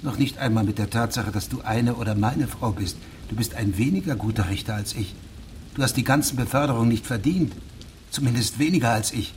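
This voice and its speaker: An actor playing a pedantic, self-righteous middle-aged judge in a radio drama, speaking formally and precisely. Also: male voice, medium pitch, a little mellow, slight background noise, lightly acted. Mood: cool, wounded. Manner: formal, precise, measured, stiff.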